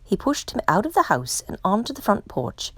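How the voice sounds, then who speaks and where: A woman's voice: medium pitch, huge pitch swings, normal speed, no echo. A woman narrating a children's storybook as a read-aloud for storytime. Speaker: storytime reader.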